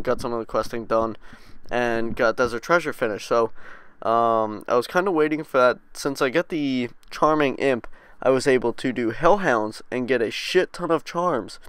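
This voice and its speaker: A man's voice: medium pitch, clean tone, no echo. Stuffy-nosed young man narrating gameplay. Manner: laid-back, rambling, frequent ums and swearing. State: congested, sniffly from a cold. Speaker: gaming channel host. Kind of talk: casual voice-over game commentary.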